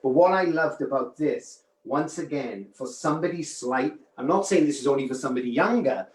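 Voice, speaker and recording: A man's voice: mid-range voice, a little fast, roomy sound, rough recording. A man with a Welsh accent co-hosting a casual live wig demo over a video call, chatty and friendly, calling viewers "my love". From Wales.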